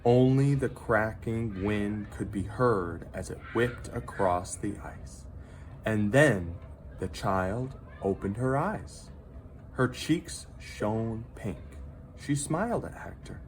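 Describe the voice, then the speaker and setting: Animated, unhurried man reading a children's story. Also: fairly low pitch, slight background noise, poor audio. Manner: dramatic storyteller delivery with pauses for effect. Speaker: teacher reading a picture book aloud. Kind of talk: expressive children's story read-aloud.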